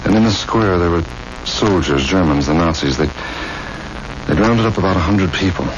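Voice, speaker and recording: An actor playing a troubled, guilt-laden forester husband, grave, reluctant and halting, in 1970s radio drama style. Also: male voice, sits low, animated. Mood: troubled, bleak. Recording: muffled, hissy vintage radio broadcast.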